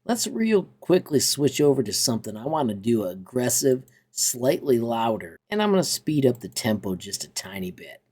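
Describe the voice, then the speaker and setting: An enthusiastic man hosts a casual software walkthrough. His voice sits at a middle pitch, and he talks through each step in an upbeat, informal way. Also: very animated, moderate pace, hardly any pauses, breathy edge. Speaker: tutorial host. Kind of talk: casual software tutorial narration.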